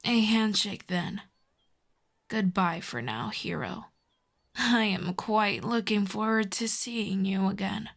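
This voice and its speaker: A woman performs a proud villainess from an ASMR audio drama. She speaks slowly and deliberately in a mid-range voice, haughty and teasing, with fondness underneath. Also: medium pitch, gravelly, heavily acted. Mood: smug, fond. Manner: haughty, teasing, slow deliberate delivery with soft whispers. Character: proud telepathic villainess, secretly fond of a hero. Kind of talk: intimate ASMR audio roleplay.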